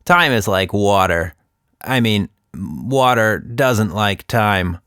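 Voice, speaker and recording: A man's voice: lowish voice, lively intonation, slow pace, pushed and bright, smooth, dry recording, studio quality, exaggerated voice. A man voicing an absent-minded, philosophical companion in a children's story, musing and correcting himself.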